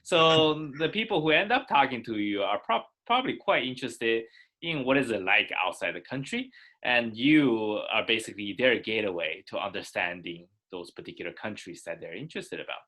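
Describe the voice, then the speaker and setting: A man co-hosting a casual discussion, explaining his view in a measured way. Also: mid-range voice, lively intonation, bright, forceful tone. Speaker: co-host.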